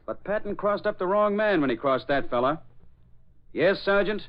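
An actor playing a shrewd, decisive police inspector in a 1940s radio drama. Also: male voice, high-pitched, expressive, moderate pace. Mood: certain. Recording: dull old 1940s radio recording.

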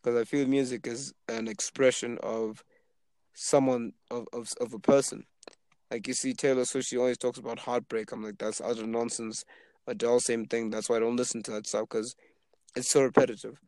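A man's voice: mid-range voice, normal speed, dry recording. A man of twenty from Zimbabwe, chatting casually and rambling with lots of fillers about being an aspiring rapper. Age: 20s. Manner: relaxed, rambling with many fillers. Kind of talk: casual chat.

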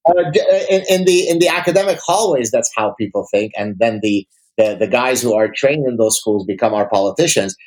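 A man's voice: medium pitch, expressive, brisk, a little mellow, rough recording. A man from Lebanon whose first language is Arabic, giving emphatic, articulate opinions in formal vocabulary as an academic interview guest.

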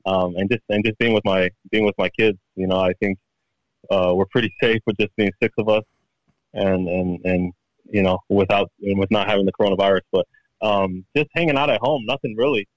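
Tongan-heritage male golfer guest, low dry voice, easygoing talk with restarts. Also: a little fast, no echo, low-quality recording. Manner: relaxed, repeats words and restarts often. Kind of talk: casual interview chat.

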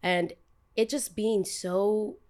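A woman's voice: medium pitch, fairly even intonation, slow, darkish tone. A young woman with a New Jersey accent, chatting casually as a podcast host in a blunt, emphatic, slangy way. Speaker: podcast host, content creator.

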